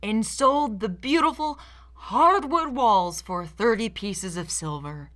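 A woman's voice: medium pitch, expressive, takes it slowly, a bit bright. A young woman giving scripted, sarcastic commentary as a video essayist, with a theatrical, mock-indignant manner. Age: young adult.

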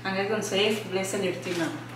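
A woman's voice: medium pitch, fast. A young woman from India vlogging at home in a casual, chatty way, explaining household things one by one. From Karnataka, India. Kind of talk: casual chat. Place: home kitchen, then living room indoors. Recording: echoey room with background noise.

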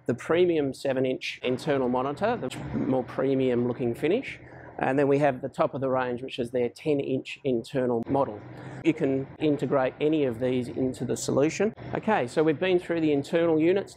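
A man's voice: medium pitch, darkish tone, slight background noise. A man giving an informal, plain-spoken product overview as a presenter. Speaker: presenter for a security installer.

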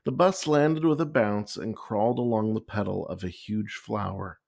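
A man reading a children's picture book aloud with expressive, deliberate storytelling. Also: lowish voice, creaky. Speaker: story time reader.

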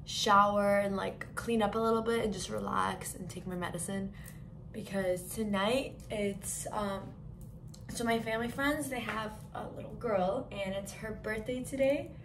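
A young woman with a hoarse voice from being sick, chatting to her phone camera in a hotel room in a bubbly, gushing way. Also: mid-range voice, fairly even intonation, a little slow, background noise. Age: young adult. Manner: bubbly, gushing, talks to viewers as guys. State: hoarse, lost voice from illness. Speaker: travel vlogger. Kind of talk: casual vlog chat to camera. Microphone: handheld phone camera at arm's length.

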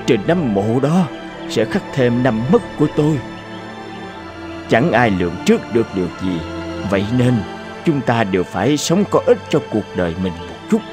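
An actor playing a humble, wise old townsman in a cartoon, speaking in a calm, respectful, measured way. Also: medium pitch. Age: older.

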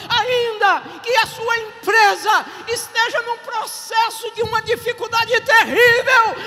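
A middle-aged Brazilian pastor with a Bahian accent, preaching a sermon on a handheld mic at an outdoor stage and building to shouted, repeated phrases. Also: male voice, extremely high pitch, long reverb tail. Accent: Bahian Portuguese. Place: outdoor stage at a drive-in service.

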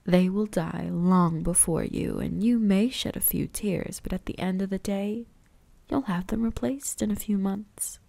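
A woman voicing an ancient, predatory and possessive vampire huntress in an ASMR roleplay, breathy and often whispering, with a teasing, menacing manner. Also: mid-range voice, measured pace, dark tone, no echo. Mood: cool, musing. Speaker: voice actress.

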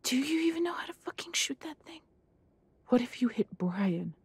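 A woman's voice: sits high, very animated, moderate pace, husky, full character voice. A woman playing an anxious, foul-mouthed, fiercely loyal woman in an audio drama. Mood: hissed alarm. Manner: urgent, sweary. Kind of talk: amateur audio roleplay drama.

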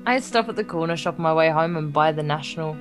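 A slightly low-voiced woman reads a visual novel aloud as a Let's Play host. She is informal and jokey, tripping over the text at times, with faint guitar music behind her. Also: a little fast. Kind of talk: reading game text aloud with casual banter.

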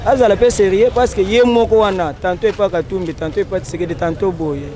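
A man's voice: high-pitched, steady tone, quick pace, mellow. A young man with a Congolese accent arguing his opinion with pointed questions in an outdoor street interview. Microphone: handheld mic.

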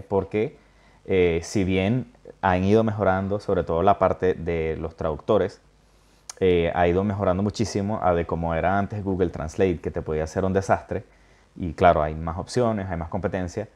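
A man hosting a casual interview, talking thoughtfully with many pauses, fillers and little check-ins. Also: deep voice, darkish tone. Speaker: interview host. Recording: dull lo-fi sound.

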